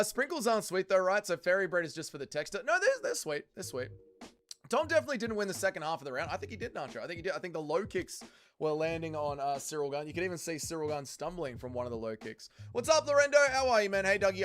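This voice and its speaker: A man's voice: fairly high pitch, fairly bright tone. A young man, hosting a casual livestream and bluntly arguing with chatters he reads out. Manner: heated, blunt, calls chatters trolls. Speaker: livestream host reading chat.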